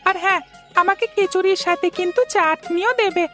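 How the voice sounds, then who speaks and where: Woman voicing a very high-pitched pleading little boy. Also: steady tone, brisk, pushed and bright, soft background music. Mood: eager, pleading. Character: pleading, whiny little boy who loves food. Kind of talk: Bangla cartoon voice acting.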